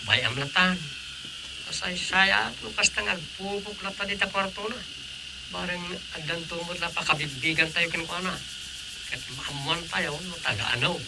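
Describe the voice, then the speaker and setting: A man's voice: high-pitched, normal speed, gravelly, noisy background. A man acting a concerned, helpful village councilman in a conversational radio drama. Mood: hushed, practical.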